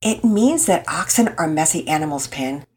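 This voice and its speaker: A woman voicing a patient, gentle mother figure who speaks calmly and explains things like a teacher. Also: low-pitched, moderate pace. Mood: teaching. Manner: calm, warm, teaching tone.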